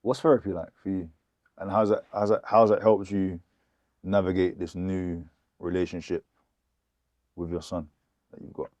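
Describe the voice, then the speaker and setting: A man's voice: low-pitched, level tone, normal speed, pauses often, darkish tone, no room sound, muffled recording. A man with an urban British accent interviewing someone casually, with informal slang and affirming remarks.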